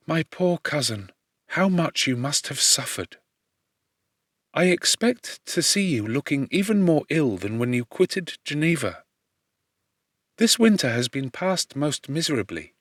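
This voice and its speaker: A man reads as a gentle young woman from a classic novel, voicing her letter. The delivery is earnest, careful and tenderly anxious. The recording is dry and free of room sound. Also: a little high, dry recording.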